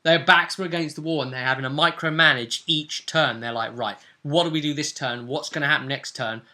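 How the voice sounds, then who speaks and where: A man's voice: on the high side, fairly even intonation, fairly quick, sharp tone. A man with a British accent talking casually as a game master, looking back over a role-playing session. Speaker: game master and vidcast host.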